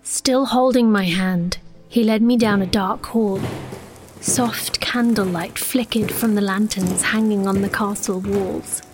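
An actress playing an earnest, romantic young sorceress princess, narrating a fantasy audio drama with expressive, breathy delivery. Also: female voice, mid-range voice, unhurried, soft background music, close to an everyday voice. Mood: dreamy narration.

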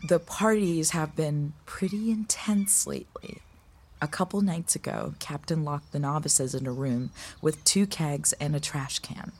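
Low-voiced, earnest young college rower. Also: female voice, unhurried, a little mellow, slight background noise. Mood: worried, frank. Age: young adult. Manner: frank, earnest. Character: concerned, earnest college rowing mentor, young woman. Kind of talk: naturalistic audio drama acting.

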